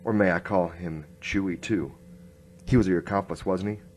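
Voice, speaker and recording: A man plays a hard-boiled inspector narrating a noir radio drama. His voice is very low, and his delivery is terse and grim, over faint background noise. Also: fairly even intonation, moderate pace, darkish tone, lightly acted. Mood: accusing.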